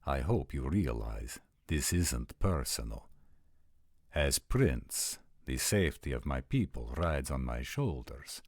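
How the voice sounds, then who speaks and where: A man voices a proud vampire prince, a stern ruler with a profoundly deep voice. His delivery is formal and slow, recorded dry for a roleplay audio drama. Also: lively intonation, dry recording, heavily acted. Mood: cold, formal. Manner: formal, measured.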